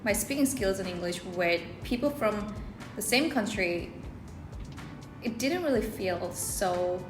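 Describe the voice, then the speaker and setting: A woman speaking English, giving earnest personal advice to camera and often rephrasing herself mid-sentence. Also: lowish voice. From South Korea. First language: Korean. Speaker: English-learning video creator. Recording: roomy echo, faint background music.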